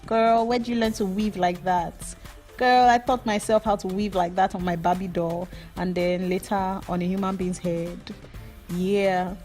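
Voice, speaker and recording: A woman's voice: medium pitch, steady tone, a little slow, a little mellow, faint music behind. A young Nigerian woman with a Nigerian accent and Yoruba as her first language, giving a hair-braiding tutorial voice-over in a playful, gossipy, rambling way.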